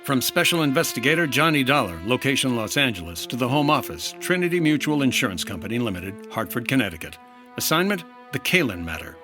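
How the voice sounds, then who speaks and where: An actor playing a cool, world-weary hard-boiled insurance investigator in a 1950s-style radio detective drama. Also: male voice, medium pitch, sing-song, quick pace, loud music behind the voice, natural voice. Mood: dramatic narration.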